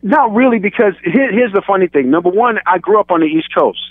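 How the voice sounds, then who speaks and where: A man's voice: sits high, lively intonation, a little fast, no echo. A man with a light New York accent, the guest on a radio show, talking casually with many restarts. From born in London, raised in Queens, New York. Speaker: guest rapper. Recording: tinny phone-like sound.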